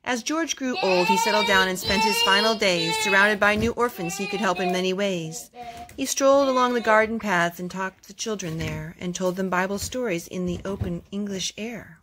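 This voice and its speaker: A woman hosting a children's storytime, reading aloud in an expressive storytelling manner. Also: mid-range voice, normal speed, crowd noise.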